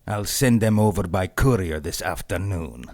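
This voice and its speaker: An actor playing an arrogant, menacing henchman in a pulp radio drama, measured and smug. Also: deep voice, vocal fry, darkish tone. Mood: defensive. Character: arrogant, menacing male henchman plotting against humans.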